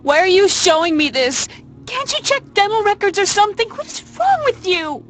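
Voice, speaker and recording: A woman's voice: very high voice, very animated, normal speed. An actress playing a young woman in a video game, a bereaved granddaughter who is curt and emotional. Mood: upset, indignant. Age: young adult. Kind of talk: video game cut scene acting.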